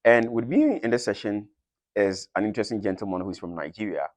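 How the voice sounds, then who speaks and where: Deep-voiced young man hosting an interview. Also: fast, dry recording. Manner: hesitant, many ums and restarts. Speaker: host. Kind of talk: casual interview.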